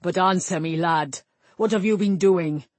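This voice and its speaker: A woman voicing a massive grey-haired warrior king, a gruff, domineering father who blusters in rustic words, in a fantasy audiobook. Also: fairly low pitch, measured pace, no room sound. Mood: scornful.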